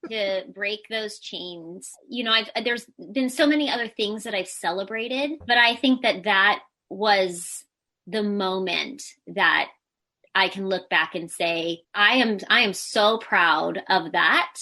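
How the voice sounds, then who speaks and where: Brief: high-voiced woman interview guest, crisp bright tone, candid and self-mocking. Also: slow pace. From United States. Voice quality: high-pitched voice. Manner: candid, self-deprecating, laughs often. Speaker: podcast guest, Pilates teacher. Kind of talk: casual interview chat.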